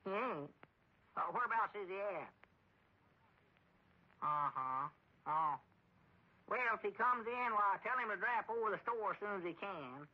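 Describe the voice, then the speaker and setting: An actor playing a muddled, gullible old storekeeper with a rural Southern American accent, in a folksy, rambling radio comedy style. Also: male voice, high-pitched, lively intonation, measured pace, pauses often. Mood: folksy, friendly. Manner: rambling, folksy, easily confused. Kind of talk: 1930s-40s radio comedy acting. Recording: dull old radio recording.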